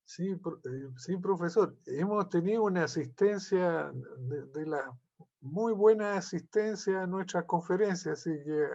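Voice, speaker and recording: A man's voice: sits high, measured pace, a little mellow. A man organizing a talk series, giving informal closing thanks on a video call. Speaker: event organizer. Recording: muffled video call audio.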